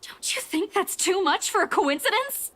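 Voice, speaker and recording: A woman's voice: extremely high pitch, animated, moderate pace, a bit bright. An actress playing an anxious, insistent young woman in a visual novel game, pleading urgently and emotionally.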